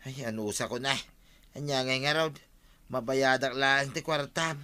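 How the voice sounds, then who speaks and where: A man's voice: mid-range voice, fairly even intonation, normal speed, bright, forceful tone, smooth, close to an everyday voice. A man with an Ilocano accent playing a sheepish villager caught stealing after gambling, pleading and protesting in a comedy drama.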